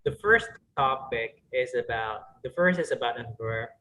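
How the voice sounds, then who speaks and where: A man interviewing a teacher, asking polite questions slowly with hesitations. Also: medium pitch, expressive, sharp tone. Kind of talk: speaking test interview.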